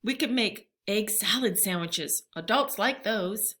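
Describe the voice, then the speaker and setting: Woman voicing a young girl, excitable, pleading, folksy, very bright, storybook read-aloud. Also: medium pitch, unhurried. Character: eager, pleading young girl planning a party.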